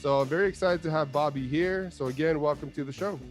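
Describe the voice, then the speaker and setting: A man's voice: fairly high pitch, fast, soft background music. A man hosting an interview show over a video call, talking in an eager, loose way with lots of fillers. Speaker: show host.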